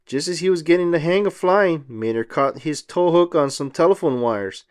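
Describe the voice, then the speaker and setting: A man reading a children's storybook aloud as narrator, in an expressive style. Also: a little high, normal speed, clean tone.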